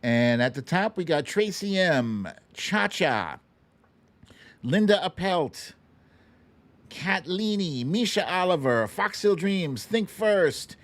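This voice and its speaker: Guy hosting a live online quiz, playful n chatty, unhurried, pretty dry sound. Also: high-pitched, animated, no room sound. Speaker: host of a live online show. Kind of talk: casual livestream quiz banter, reading out viewers' names.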